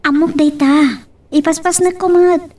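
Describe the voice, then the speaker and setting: An actress playing an earnest, lovestruck young student who pleads and reassures as a daughter in an audio drama. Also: female voice, very high-pitched, steady tone, a little slow, darkish tone, distant mic. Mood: earnest reassurance. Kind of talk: Ilocano radio-style drama acting.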